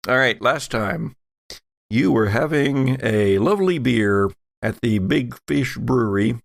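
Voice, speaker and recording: A man's voice: mid-range voice, lively intonation, unhurried, creaky, dry recording, studio quality. A man hosting a podcast, chatting casually and narrating a tabletop game as game master.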